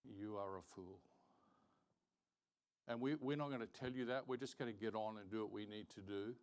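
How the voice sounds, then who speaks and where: A man teaching a Bible lesson as a preacher, deliberate and emphatic, repeating phrases for stress and reading out verses. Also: fairly low pitch. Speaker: preacher teaching Sunday school. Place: church, live-streamed service.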